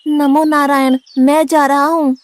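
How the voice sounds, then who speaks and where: A woman's voice: sits high, flat delivery, measured pace. A woman voicing a stubborn, hungry young disciple. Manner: blunt, indignant, complaining. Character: naive, stubborn young male disciple who loves food. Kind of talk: Hindi cartoon story dubbing.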